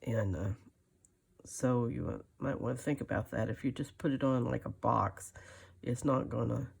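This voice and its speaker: A woman's voice: rumbling low, moderate pace, vocal fry, a little mellow, slightly rough. An older woman giving a casual hand-stitching tutorial, thinking aloud in a folksy, rambling, muttering way. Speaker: craft video host.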